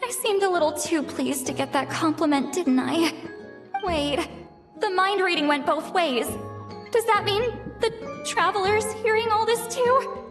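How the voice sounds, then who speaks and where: A woman's voice: very high-pitched, lively intonation, unhurried, over music. A woman playing a proud, irritable and easily flustered young woman in a video game, huffy and exasperated. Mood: embarrassed.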